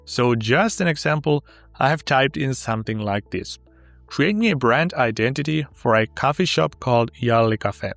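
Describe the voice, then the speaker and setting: A man whose first language is Finnish, upbeat and walking step by step through a sponsored software tutorial. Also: medium pitch, lively intonation, moderate pace, creaky, dry recording, faint music behind. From Finland. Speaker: tech tutorial host.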